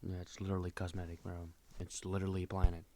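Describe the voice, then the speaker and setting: A man's voice: deep voice, monotone, quick pace, very noisy. A man giving casual, mocking reaction commentary, sounding incredulous and swearing freely. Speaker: reaction video host.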